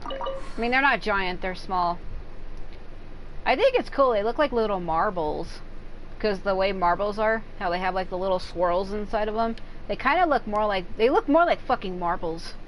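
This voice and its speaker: Woman streamer ranting about stuff while gaming, swears a lot, middle pitch, faint hiss behind. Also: normal speed, low-quality recording. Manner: frank and profane, rambling run-on talk; breaks into loud silly outbursts and laughter. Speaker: game streamer. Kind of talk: casual rambling chat while gaming.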